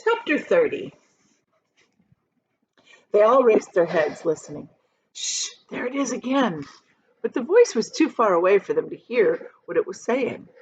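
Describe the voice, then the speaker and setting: A woman reading a children's book aloud like a teacher, expressive and dramatic, with emphatic pauses. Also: mid-range voice, husky, dull audio. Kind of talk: expressive storybook read-aloud.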